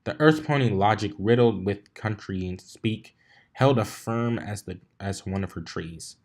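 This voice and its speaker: A man's voice: fairly low pitch, unhurried, a little mellow. A man narrating a fan fiction story aloud in an informal way, stumbling over and rereading lines now and then.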